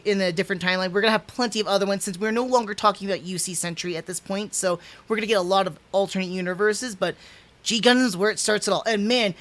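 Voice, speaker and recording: Rambling man reviewing anime on stream. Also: sits high. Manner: rambling, restarts, stumbles over names, chuckles; animated, gestures with hands while talking. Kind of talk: casual unscripted review. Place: small home room indoors.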